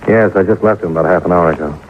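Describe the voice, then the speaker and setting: A man's voice: deep voice, rapid-fire, lightly acted. Man acting terse radio detective, tinny old recording. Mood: businesslike. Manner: clipped, matter-of-fact questioning. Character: businesslike male insurance investigator, terse and persistent. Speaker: lead actor and narrator. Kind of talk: 1950s radio detective drama acting, first-person narration. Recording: noisy narrow-band old radio recording.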